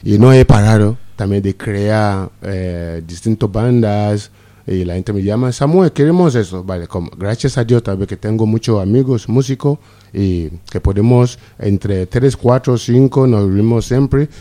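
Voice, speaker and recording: A man's voice: lowish voice, mellow. A middle-aged man from Nigeria whose first language is Yoruba, a guest musician chatting on the radio and often restarting his words. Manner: halting, repeats words and restarts. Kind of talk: casual radio chat.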